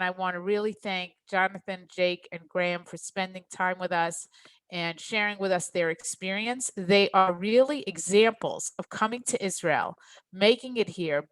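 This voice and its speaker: A woman's voice: medium pitch, dry recording. A woman hosting an online webinar, making closing remarks in an appreciative, encouraging way. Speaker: webinar host. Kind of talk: warm closing remarks to attendees.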